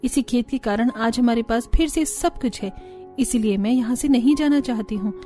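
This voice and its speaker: A woman's voice: a little high, moderate pace, mellow, soft background music, close to an everyday voice. A woman voicing a determined, dutiful young daughter-in-law in a cartoon, earnest and reassuring.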